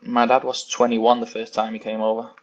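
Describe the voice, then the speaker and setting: Spanish-raised man, Valencian first language, flat delivery, muffled lo-fi call sound. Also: medium pitch, a little fast. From Spain. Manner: hesitant, searches for English words. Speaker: guest. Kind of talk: casual interview over a call. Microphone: phone on a video call. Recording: dull lo-fi call audio.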